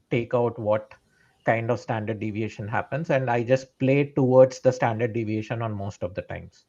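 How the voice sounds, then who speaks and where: Man, trader, explanatory, mid-pitched, dull lo-fi sound. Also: a little mellow. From India. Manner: explanatory, checks in with "right?". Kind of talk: live trading session explanation.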